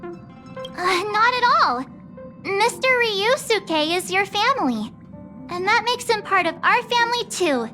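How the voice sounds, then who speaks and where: A cheerful, cocky young heroine in a game, her voice very high and bright. She talks slowly and playfully, with big swoops of pitch and a boastful bounce. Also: expressive, soft background music, full character voice. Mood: bubbly.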